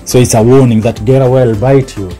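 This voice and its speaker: Young male tour guide, Kenyan accent, mellow tone, explains patiently with repetition, faint music behind. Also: mid-range voice, steady tone, fast talker. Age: young adult. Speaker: snake park guide. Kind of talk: informal guided tour talk. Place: open-sided snake park shelter with mesh walls.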